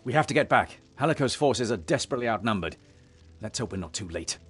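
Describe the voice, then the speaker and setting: An actor playing a noble, resolute young warrior leader in a fantasy game, earnest and commanding. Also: male voice, medium pitch, expressive, quick pace, a bit bright, slightly rough, faint music behind. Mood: concerned. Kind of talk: fantasy video game voice acting.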